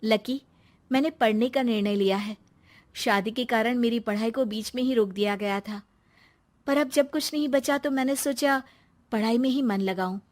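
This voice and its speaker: Woman playing a gentle, high-voiced young widow. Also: normal speed, close to an everyday voice. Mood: earnest.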